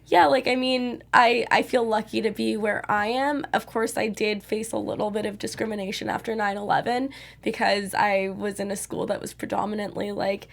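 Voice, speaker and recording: A woman's voice: mid-range voice, fairly even intonation, normal speed, vocal fry. A young adult woman co-hosting a TV recap show, talking in a chatty way with many fillers. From United States. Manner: chatty, many likes and I means. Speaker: co-host. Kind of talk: casual TV recap chat.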